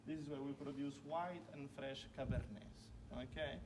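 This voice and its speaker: A man's voice: mid-range voice, fairly even intonation, normal speed. A young Italian man with an Italian accent, giving a chatty winery tour outdoors and piling up "very" for emphasis. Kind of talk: guided tour talk to a group. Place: outdoors in a stone village street. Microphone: phone camera recording from within the crowd. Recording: distant phone audio with loud outdoor noise.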